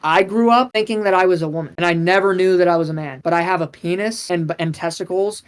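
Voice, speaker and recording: A man's voice: high voice, fast talker, clear, resonant tone. Insistent young man arguing in voice chat. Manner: argumentative, insistent, repeats himself. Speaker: debate guest. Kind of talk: heated debate.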